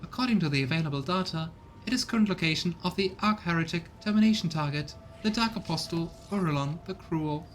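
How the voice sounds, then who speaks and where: A cold cyborg tech-priest, voiced by a man delivers clinical, formal phrasing in a sci-fi game. The voice is pushed higher than natural, with faint rumble behind. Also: fast, few pauses, lightly acted. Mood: clinical, stern. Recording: rumbly background noise.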